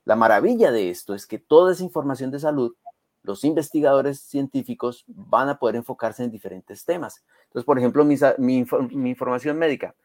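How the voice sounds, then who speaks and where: A man's voice: medium pitch, fairly quick, darkish tone, no room sound. A native Spanish-speaking man explaining things conversationally as an interview guest, emphatic and fond of rhetorical check questions. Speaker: interview guest, community health program advocate.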